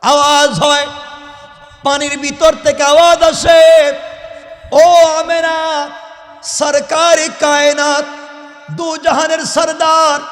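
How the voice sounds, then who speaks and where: A man's voice: very high-pitched, a bit bright. A man with a Bangladeshi accent preaching an Islamic sermon as dramatic storytelling, declaiming and drawing phrase ends into a sing-song chant. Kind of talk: Bengali waz sermon, dramatic religious storytelling. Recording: echoey PA sound with long reverb.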